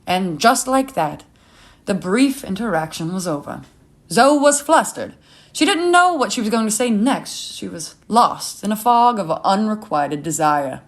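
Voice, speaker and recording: A woman narrates a piece of short fiction. She reads scripted prose as the storyteller, in a voice of medium pitch for a woman. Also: lively intonation, a little slow.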